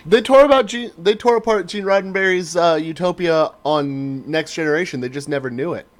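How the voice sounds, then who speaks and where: A man's voice: fairly high pitch, fairly quick. Opinionated, sweary man in casual chat. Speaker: podcast host.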